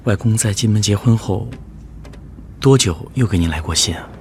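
An actor playing a gentle grown grandson in a radio drama, asking questions in a soft, inquisitive manner. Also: male voice, lowish voice, normal speed, slight background noise, natural voice. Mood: gently curious. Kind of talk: quiet radio drama dialogue.

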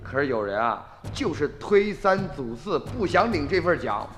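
A man's voice: fairly high pitch, very animated, moderate pace, fairly bright tone, long reverb tail, soft background music. An actor playing a sly male grave robber posing as a junk collector in a radio drama, coaxing and probing as he talks. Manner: wheedling, probing small talk.

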